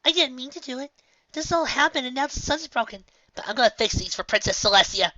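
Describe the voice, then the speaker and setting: A desperate young filly voice, high and bright, pleading and close to tears, as a storyteller puts her on. The sound is dry and a little dull. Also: lively intonation, fast, no echo. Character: young filly unicorn, frightened, desperate to fix things.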